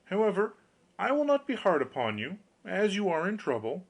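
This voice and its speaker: A crafty storybook countryman, played by a male reader. He sounds folksy and confiding, pressing his case with a show of grave concern and widely moving pitch. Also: wildly swooping pitch, normal speed, husky, exaggerated voice. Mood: grudging. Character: sly countryman feigning grave, friendly concern.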